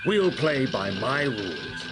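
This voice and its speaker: An actor playing a cunning, defiant space pirate leader in a video game, sneering and taunting. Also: male voice, medium pitch, sing-song, slow, over loud music. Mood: scornful, demanding.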